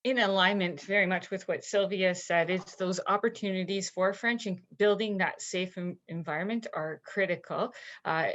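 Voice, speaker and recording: A woman who works as a French second language consultant, speaking on a panel in a measured, slightly halting way. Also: lowish voice, fairly bright tone, dull audio. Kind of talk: panel discussion.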